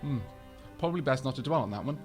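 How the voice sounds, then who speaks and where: A man's voice: mid-range voice, expressive, fast, darkish tone, soft background music. Wry rambling male game streamer. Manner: rambling, wry, thanks viewers by name. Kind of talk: live-stream gameplay chat.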